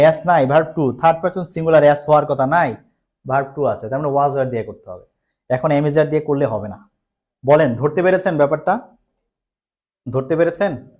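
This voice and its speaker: Man, slightly high voice, Bangladeshi accent, online grammar teacher, repetitive step-by-step explanations, frequent check questions, English terms mixed in. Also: darkish tone. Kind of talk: live online grammar lesson.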